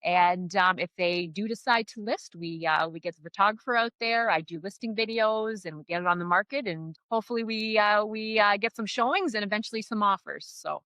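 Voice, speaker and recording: A middle-aged woman from Canada with a Canadian accent, an upbeat real estate agent chatting as a podcast guest, often pausing on "uh". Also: mid-range voice, pushed and bright, clear, resonant tone, dry recording, poor audio. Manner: upbeat, chatty, frequent "uh" fillers. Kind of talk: casual podcast interview.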